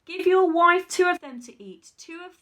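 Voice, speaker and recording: A woman's voice: very high-pitched, normal speed, bright, forceful tone, voice pushed up. A woman voicing a magical talking golden fish while reading a fairy tale aloud. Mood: solemn. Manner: storybook read-aloud, precise.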